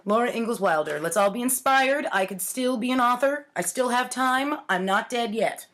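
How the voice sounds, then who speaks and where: A woman with a fairly high voice reads aloud in a very lively, swooping way. She is wry and theatrical, dropping into casual asides that poke fun at the text. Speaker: host reading her own childhood writing. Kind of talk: read-aloud with casual self-mocking asides. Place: home kitchen, indoors. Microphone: webcam or laptop at arm's length.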